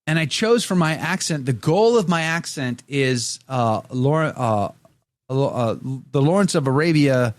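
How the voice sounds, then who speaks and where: A man's voice: fairly high pitch, lively intonation, measured pace, no echo, clean studio sound. A middle-aged man hosting a podcast, chatting casually and joking while he reads viewer comments aloud. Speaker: podcast host. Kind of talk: casual chat.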